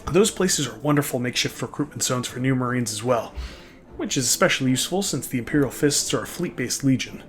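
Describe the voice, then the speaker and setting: A man's voice: medium pitch, animated, fast talker. A man delivering comedic scripted narration in a sardonic, mock-grand manner, tossing in irreverent asides. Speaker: narrator of a comedic fan lore video. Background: background music.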